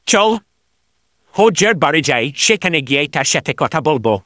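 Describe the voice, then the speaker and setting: A man voicing an excitable, flattering village man in a cartoon. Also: a little high, normal speed, dry recording, clean studio sound. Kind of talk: cartoon voice acting.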